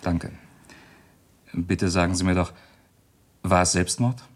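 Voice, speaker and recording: A man playing a polite, composed, estranged son of a dead man in a radio play, speaking in a measured way. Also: sits low. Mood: composed, sober. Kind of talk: German radio play acting, dramatic dialogue.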